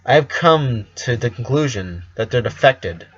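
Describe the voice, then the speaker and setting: A man's voice: medium pitch, expressive, measured pace, fairly bright tone, slightly rough. A man as a haughty female pony star, muffled. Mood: scornful. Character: haughty complaining female pony singer on radio.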